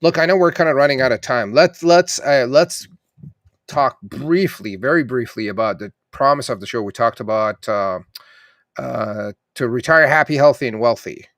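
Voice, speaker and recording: A man hosting a casual interview, mostly chiming in with quick agreement. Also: mid-range voice, fairly bright tone, no room sound, clean studio sound. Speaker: podcast host.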